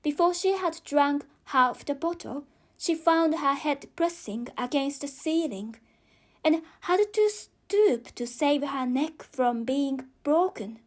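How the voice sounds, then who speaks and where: A woman's voice: high voice, animated, slow pace. A woman with a non-native English accent reading a children's story as an audiobook narrator.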